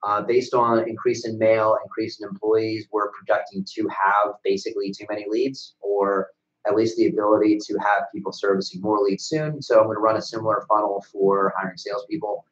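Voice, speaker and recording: A man's voice: fairly low pitch, level tone, brisk. A young man with an American accent chatting casually about business as a webcast co-host, blunt and casually profane. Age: young adult. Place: small bright office, indoors. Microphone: desk microphone on a stand. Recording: echoey room, dull sound.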